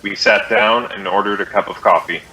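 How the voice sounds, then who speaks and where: A man's voice: lowish voice, fairly even intonation, moderate pace, bright, forceful tone, low-quality recording. An American man playing a terse, businesslike police detective in a clipped, matter-of-fact 1950s radio drama style. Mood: flat, official. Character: terse, businesslike male police detective, narrating his case.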